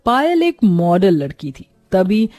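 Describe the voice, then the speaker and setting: Lady narrating a moral story, mellow voice, dry recording, scripted. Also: medium pitch, big pitch swings, takes it slowly, dry recording, faint music behind.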